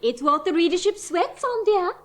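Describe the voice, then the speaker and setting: A gushing newspaper reporter in an old radio drama. Her voice is high and lively, rising and falling with condescending enthusiasm. She talks down to everyone while narrating her own headlines. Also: normal speed. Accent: English. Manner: gushing, condescending, dictates copy aloud. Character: gushing, patronising woman society reporter.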